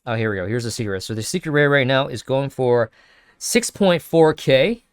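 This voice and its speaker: A man's voice: medium pitch, lively intonation, moderate pace, clear, resonant tone, no room sound, clean studio sound. A man hosting a casual video, thinking aloud with many fillers and restarts while sniffly from sneezing. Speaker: crypto video host. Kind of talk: casual screen-share commentary.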